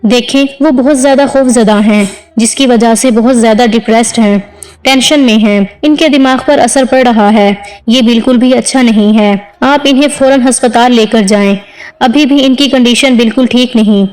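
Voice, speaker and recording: A woman's voice: on the high side, moderate pace, darkish tone, close to an everyday voice, poor audio. A woman storyteller voicing a concerned, insistent female doctor in an audio story. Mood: grave concern.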